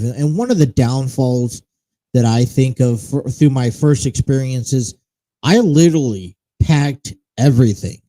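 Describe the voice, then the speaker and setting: A man's voice: mid-range voice, warm tone, low-quality recording. A man hosting a casual solo talk show, chatting in a rambling, self-correcting way. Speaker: host. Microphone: studio mic with monocaster setup.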